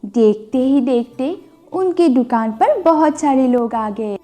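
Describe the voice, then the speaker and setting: A woman's voice: sits high, animated, takes it slowly, warm tone. A woman narrating a scripted moral bedtime story as a storyteller. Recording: music bed under the voice. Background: background music.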